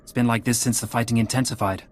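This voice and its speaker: An actor playing a harried, deferential intelligence officer in a video game, brisk in his manner. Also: medium pitch, fairly even intonation, lightly acted. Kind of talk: dramatic game dialogue.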